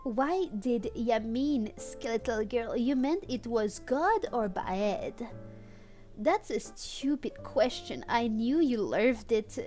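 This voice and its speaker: A woman's voice: fairly high pitch, lively intonation, slow, mellow, soft background music. A woman livestreaming a read-aloud of fan fiction on a headset, playful and mock-dramatic, with amused asides. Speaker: streamer and host.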